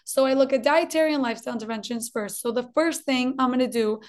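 A woman with a faint Colombian Spanish accent speaks in a high voice. She is a dietitian guest on an interview, explaining clearly and repeating points for emphasis. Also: fast. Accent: slight Colombian Spanish.

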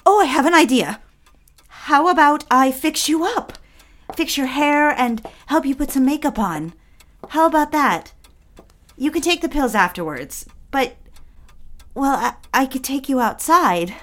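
A woman's voice: high-pitched, lively intonation, a little slow. A woman playing an earnest, lonely young woman in an audio drama, prim and coaxing, eager to be a friend. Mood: coaxing, bright.

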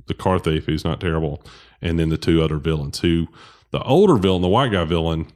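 A low-voiced man rambling through a movie recap. It comes with many restarts and self-corrections. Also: brisk, a little mellow. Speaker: podcast co-host. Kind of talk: casual movie discussion.

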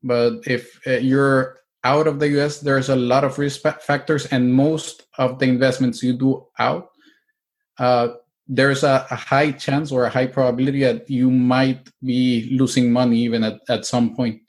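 Steady, even-toned man from El Salvador. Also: mid-range voice, normal speed. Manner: hesitant, many restarts and fillers, explanatory. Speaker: real estate agent and investor. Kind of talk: interview answers.

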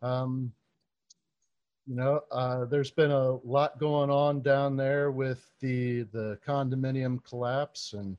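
Guy hosting a podcast, mid-pitch voice, reads the bio then chats loose with lots of ums. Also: steady tone, takes it slowly, muffled recording. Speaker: podcast host. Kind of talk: interview introduction and questions.

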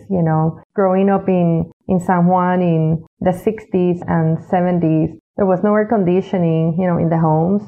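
A woman in her sixties from Puerto Rico, an architect answering interview questions in an unhurried way, with many "you know" asides. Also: on the low side. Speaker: architect and executive director, guest. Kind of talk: interview answers, reflective storytelling. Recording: tinny phone-like line.

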